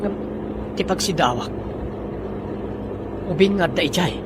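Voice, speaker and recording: A man's voice: high voice, lively intonation, unhurried, heavy background noise. A man acting a brooding, lovelorn boss who muses aloud in a radio drama. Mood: wistful. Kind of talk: Filipino radio drama dialogue.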